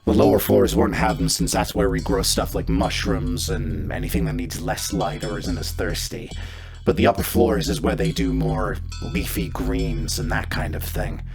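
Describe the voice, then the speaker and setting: A man voicing a gruff, blunt cyborg mercenary boss in a tabletop game, with a rasping voice and a laconic, coarse, folksy manner. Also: on the low side, flat delivery, moderate pace, over music. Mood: gruff, businesslike. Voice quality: heavily gravelly, rasping.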